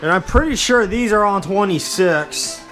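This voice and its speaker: Higher-voiced young man giving a casual walkthrough. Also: expressive, moderate pace, over loud music. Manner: informal, rambling, addresses viewers as guys. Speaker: hobbyist video host. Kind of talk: casual show-and-tell walkthrough.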